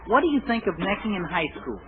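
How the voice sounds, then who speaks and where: A man's voice: high voice, big pitch swings, fast, narrow, tinny audio. A man playing a polite but drily exasperated schoolboy reporter in a 1940s radio comedy. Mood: dry, exasperated. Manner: polite, dry, wry. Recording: noisy band-limited 1940s radio recording.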